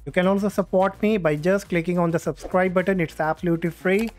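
A man's voice: high-pitched, fairly even intonation, fast talker, darkish tone. Man narrating a tutorial over music. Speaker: tutorial host. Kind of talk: step-by-step software tutorial narration. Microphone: USB condenser microphone.

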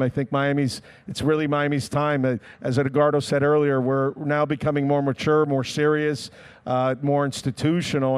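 A man's voice: medium pitch, a little mellow, clean tone. A middle-aged man speaking earnestly on a conference panel into a handheld mic. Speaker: developer panelist. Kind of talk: panel discussion.

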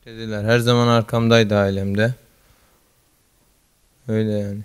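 A teenage student athlete giving brief, modest answers in a school office, speaking into a handheld mic. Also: male voice, fairly low pitch, flat delivery, moderate pace, smooth. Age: teens. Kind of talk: interview answers. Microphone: handheld mic held by interviewer.

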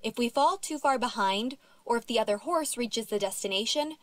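A woman's voice: on the high side, animated, moderate pace, no echo, close to an everyday voice. A woman voicing a spirited teenage girl horse rider in a video game, youthful and confident. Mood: instructive.

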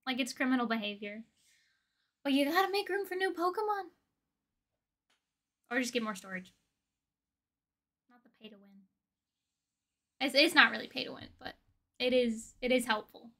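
A young woman talks casually to her livestream viewers. Her voice is very high and bright. She is playful and expressive, with plenty of slang in an informal, chatty flow. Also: normal speed, pauses often. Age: young adult. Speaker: livestream host. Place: indoor room with desk and shelves of collectibles.

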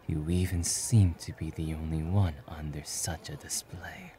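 A man voicing a stoic, quietly kind young demon-slaying hero in a soft, breathy romantic ASMR roleplay. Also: extremely low pitch, fairly even intonation, a little slow, a little hiss or hum. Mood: quietly reassuring. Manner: aloof, terse, gently reassuring.

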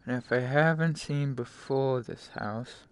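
A man giving casual live gameplay commentary as a streamer, halting and talking in short phrases, often mumbling to himself. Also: mid-range voice, smooth. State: frequent coughing. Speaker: game streamer. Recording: noisy home stream microphone.

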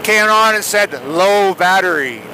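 Over a crackly intercom with clear engine noise, a man speaks in a high-pitched voice. He chats casually, like one pilot checking in with another mid-flight. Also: measured pace, pushed and bright. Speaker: fellow paramotor pilot. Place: outdoors, in flight. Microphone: helmet headset intercom.

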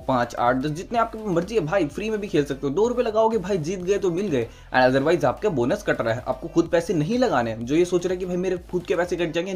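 A man's voice: a little high, darkish tone. A young man doing a chatty, persuasive gaming tutorial voice-over, talking to viewers like buddies. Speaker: gaming video creator. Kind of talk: casual tutorial voice-over mixing Hindi and English. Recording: music behind the voice. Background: background music.

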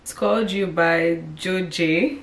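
Chatty young woman vlogging, low voice. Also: takes it slowly, loud music behind the voice. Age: 20s. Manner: chatty, addresses viewers as guys. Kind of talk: casual vlog chat to camera. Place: small bedroom indoors. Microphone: camera mic at arm's length and across the room.

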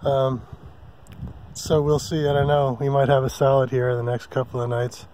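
A man hosting a casual camping vlog from a mountain meadow, talking in a relaxed, rambling way with many ums and uhs. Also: mid-range voice, moderate pace, a little hiss or hum, low-quality recording. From Hawaii. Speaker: vlog host. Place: open mountain meadow campsite outdoors.

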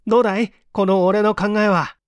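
A man voicing an eager, scheming mystery writer with an animated, persuasive manner in a storybook reading. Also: sits high, brisk, smooth, dry recording, clean studio sound, pitched above the performer's own voice, lightly acted. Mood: excited, confiding. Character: scheming male mystery writer, eager, pleased with his mischief.

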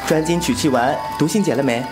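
A man's voice: a little high, fast talker, music in the background, natural voice. A man playing a cheeky, candid young swordsman in a Chinese audio drama, with a playful, casual manner. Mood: playful, teasing. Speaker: voice actor.

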